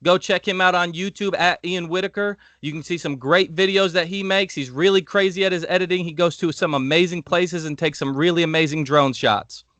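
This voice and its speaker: A young man co-hosts a laid-back podcast while fighting off a cold, so his voice comes out a little nasal. He talks high and bright and breaks into laughter often. The recording is close and dry. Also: no echo. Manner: laughs often, talks fast when excited. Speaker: podcast co-host. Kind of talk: casual chat.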